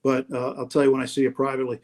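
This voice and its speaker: A man's voice: mid-range voice, completely flat delivery, rapid-fire. A man who calls games on the radio, telling stories about colleagues in a casual, reminiscing way over a video call. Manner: anecdotal, warm reminiscing with restarts. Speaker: guest, radio play-by-play announcer. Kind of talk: casual storytelling.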